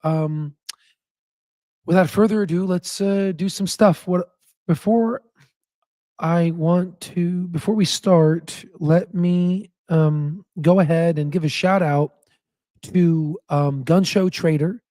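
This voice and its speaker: A man hosts his stock market show with a hoarse, nearly spent voice that sits a bit higher than most men's. His tone is dark and mellow, and the sound is dry and close with no room around it. He rambles casually through his points, full of fillers and restarts. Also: steady tone, slow, dry recording. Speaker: stock market channel host. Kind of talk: casual chat and stock analysis.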